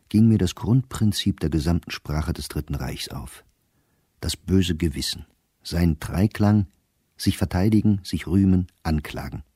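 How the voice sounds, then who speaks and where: An actor playing an educated middle-aged diarist, bitter and reflective, reading his diary in a measured, sardonic way for a radio play. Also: sits low, warm tone, husky, no echo, clean studio sound.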